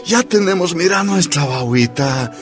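An actor playing a worried, reluctant young miner husband in a radio drama, grumbling haltingly with a Bolivian accent. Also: male voice, a little high, animated, music in the background. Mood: worried, resentful.

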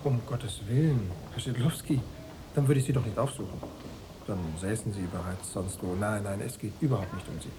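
A man in a radio play acting a smooth, insinuating East German secret police officer, falsely reassuring and bureaucratic. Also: on the low side, a little fast, big-room sound, background noise, lightly acted. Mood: falsely soothing.

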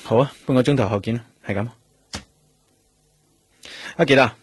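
An actor with a Hong Kong accent playing a determined, probing police detective in a radio drama. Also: male voice, mid-range voice, normal speed, slightly rough. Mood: businesslike. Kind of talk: Cantonese radio drama acting. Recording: music bed behind the voice.

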